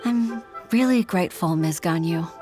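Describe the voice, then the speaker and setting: Young secretary for a game: deferential, earnest, formal, a touch low-voiced. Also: female voice, slow pace, over music. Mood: grateful. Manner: formal, earnest, sighing, hesitant. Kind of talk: English video game voice acting.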